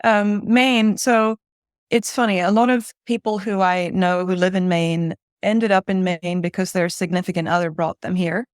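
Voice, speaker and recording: Low-pitched woman from Spain, interviewed. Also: steady tone, normal speed, clear, resonant tone, dry recording, studio quality. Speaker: interview guest, director at a design-build firm. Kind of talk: conversational interview answers.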